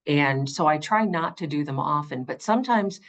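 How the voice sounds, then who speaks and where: A woman's voice: low-pitched, animated, normal speed, lo-fi sound. A middle-aged woman giving conversational, emphatic interview answers as an audiobook narrator.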